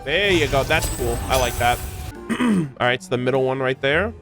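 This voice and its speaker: A young man doing casual, expressive live game commentary as a streamer, full of slang. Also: mid-range voice, normal speed, over music. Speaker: gaming streamer.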